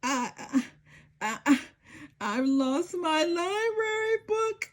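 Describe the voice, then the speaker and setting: A woman's voice: very high voice, sing-song, slow pace, pitched above the performer's own voice, heavily acted. A woman voicing an anxious, tearful little girl with a whiny, plaintive manner in a storybook. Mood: ashamed, tearful. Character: anxious, tearful little girl who lost a library book.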